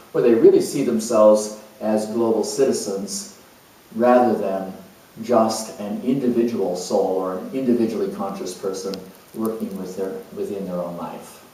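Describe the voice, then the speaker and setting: A man speaking as an astrologer, explaining a topic to an audience in a measured way, recorded with the mic far off in an echoey room. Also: fairly low pitch, mellow.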